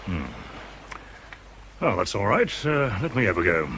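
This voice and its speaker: An actor playing a kindly, unflappable British army officer in a radio drama, breezy and encouraging in clipped old-chap speech. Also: male voice, lowish voice, big pitch swings, unhurried, a bit bright, slight background noise. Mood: brisk, helpful.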